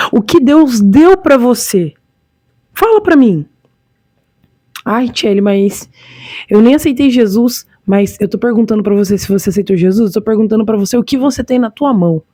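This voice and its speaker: Brazilian female preacher, fairly high voice, informal and exhortative, leaning on repetition, very dry sound. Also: mellow, no room sound. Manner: exhortative, repeats phrases for emphasis, rhetorical questions. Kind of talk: informal sermon with scripture reading.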